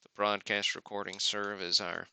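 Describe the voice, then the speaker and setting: A man's voice: on the low side, little pitch movement, moderate pace, gravelly, sharp tone, slight background noise. A man hosting a live card-opening stream with casual, off-the-cuff commentary and muttered asides to himself. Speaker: host of a sports card break livestream.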